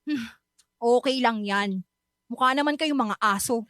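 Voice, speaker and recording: A woman's voice: fairly high pitch, a little slow, fairly bright tone, smooth, no echo, clean studio sound. An actress playing a feisty, sulky young Filipino woman in a Taglish radio drama, sassy and taunting. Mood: sulky, scornful. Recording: music bed behind the voice.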